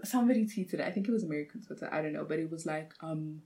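Lower-voiced young woman. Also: rapid-fire. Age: young adult. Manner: animated storytelling, quotes others. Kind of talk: casual chat.

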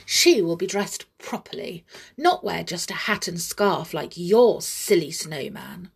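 Lady reading as a bratty toy doll girl, huffy and boastful, kinda husky, slow. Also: on the low side, expressive, exaggerated voice. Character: big naughty selfish girl doll.

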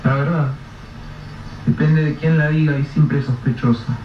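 A man's voice: fairly high pitch, fairly even intonation, normal speed, close to an everyday voice. A man playing an evasive, frightened colleague. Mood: guarded. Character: anxious male colleague, evasive, protective. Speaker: supporting character. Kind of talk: radio drama acting. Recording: distant, roomy, dull, noisy radio-play recording.

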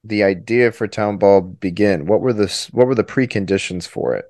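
A man hosting a casual podcast interview, asking measured, probing questions. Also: lowish voice, a little mellow, dry recording. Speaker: podcast host.

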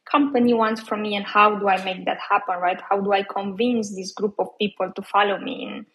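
A woman in her thirties, originally from Romania, speaks as a guest in a relaxed interview. Her talk is chatty and loose, full of fillers and restarts. Her voice sits at an ordinary middle pitch. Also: a little fast, poor audio. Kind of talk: casual interview.

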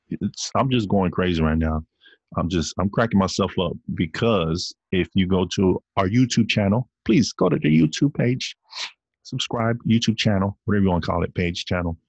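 Very deep-voiced rambling podcast host. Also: male voice, no echo, muffled recording. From Samoan heritage. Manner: rambling, playful, talks to listeners as y'all. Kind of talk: casual solo podcast rant. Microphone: computer recording via video call app.

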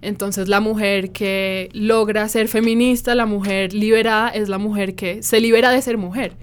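A woman's voice: medium pitch, steady tone, moderate pace, clean tone. A woman hosting a conversational discussion, emphatic and repeating phrases for emphasis.

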